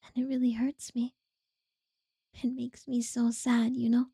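A woman voicing an obsessive, possessive captor in a whispered audio roleplay, slow and unsettlingly sweet. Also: a little high, little pitch movement, no echo, clean studio sound, full character voice. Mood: creepy tender. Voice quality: soft breathy whisper.

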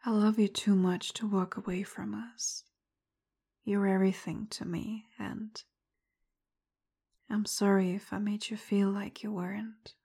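A woman talking softly and very slowly in a breathy, husky voice with a gravelly fry. Her tone is mellow and dark, and she speaks directly to the listener. Much of what she says is whispered. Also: mid-range voice, level tone. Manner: apologetic, tender, soft-spoken; frustrated and confrontational at first. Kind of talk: roleplay audio addressed to the listener.

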